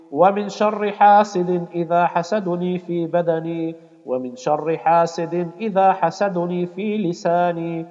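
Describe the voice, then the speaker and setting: A man reciting scripture in a slow melodic chant and repeating healing invocations. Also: a little high, darkish tone. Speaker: Quran reciter performing ruqya. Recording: dull audio with long reverb.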